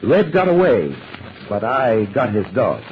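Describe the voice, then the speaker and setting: Cool gentleman adventurer, brisk authority, lively intonation, old radio drama, thin phone-like sound. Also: medium pitch. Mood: composed, dry. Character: cool, commanding gentleman adventurer with an automatic pistol. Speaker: actor. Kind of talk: old-time radio detective drama acting.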